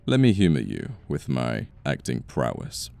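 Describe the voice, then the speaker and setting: Very deep, gravelly British boss, acted by a man. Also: wildly swooping pitch, unhurried, a little mellow, full character voice. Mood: sardonic, condescending. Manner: haughty, sardonic, formal wording. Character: cold, bitter young boss, a former nerd. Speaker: voice actor in an audio roleplay. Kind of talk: intimate ASMR roleplay acting.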